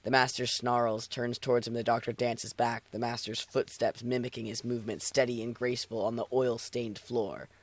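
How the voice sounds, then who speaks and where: A man's voice: mid-range voice, flat delivery, moderate pace, few pauses. A man streaming, switching between casual, sweary banter and narrating a story aloud. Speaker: streamer reading fan fiction aloud.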